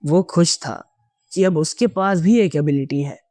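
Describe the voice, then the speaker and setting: A man's voice: on the high side, unhurried, a little mellow, dry recording, clean studio sound. A man narrating a scripted dramatic audio story as an audiobook narrator.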